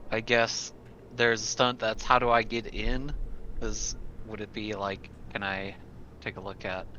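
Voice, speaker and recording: Slow, tentative man with a bright voice. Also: lowish voice. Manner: tentative, thinking aloud. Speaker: player in a tabletop roleplaying game. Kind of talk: casual game-table chat. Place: indoors at a computer, online game session. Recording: dull lo-fi voice chat, background noise.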